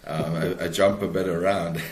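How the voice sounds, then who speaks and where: Male interview guest, South African accent, low-pitched voice, thoughtful halting delivery, roomy echo. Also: a little hiss or hum. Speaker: author, podcast guest. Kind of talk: conversational interview answers. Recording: echoey room sound.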